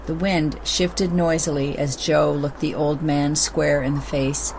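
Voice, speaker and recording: A woman's voice: low-pitched, level tone, measured pace. A woman narrating a children's story from a script. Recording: clearly noisy background ambience.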